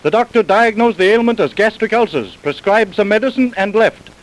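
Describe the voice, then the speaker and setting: A woman's voice: mid-range voice, lively intonation, fairly quick, lightly acted, rough recording. A woman narrating a scripted old-time radio crime drama in a formal story-linking style. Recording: vintage radio recording.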